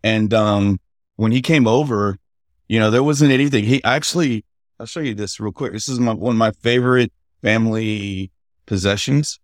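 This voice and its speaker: A middle-aged man, a podcast guest, telling family stories in a halting, conversational way without a regional accent. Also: lowish voice, no echo, studio quality. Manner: halting, with many fillers and restarts. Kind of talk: casual interview storytelling.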